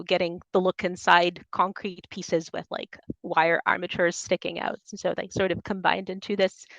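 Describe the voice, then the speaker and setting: A woman's voice: lowish voice, creaky, fairly bright tone, no echo, highs cut off. A woman from Soviet Ukraine speaking as a poet at a reading, presenting her own work. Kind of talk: poetry reading with informal introductions.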